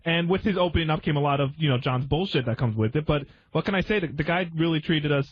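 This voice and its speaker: A man speaks quickly in a fairly high voice during a casual radio discussion. He hedges and doubles back on his phrases. The sound is a bit dull and lo-fi. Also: a little hiss or hum. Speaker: radio show staff member. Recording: dull, muffled lo-fi radio audio.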